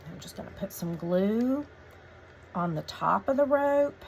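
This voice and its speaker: Woman, slightly croaky from a cold, chatty craft tutorial host, easygoing step-by-step instructions, mid pitch, faint background noise. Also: measured pace, lo-fi sound. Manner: relaxed, chatty instructions with fillers.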